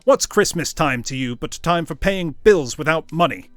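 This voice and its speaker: Bitter old miser, loud and scathing, voiced by a man reading a storybook. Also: medium pitch, big pitch swings, moderate pace, vocal fry, no echo. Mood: indignant. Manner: loud, scornful and harsh.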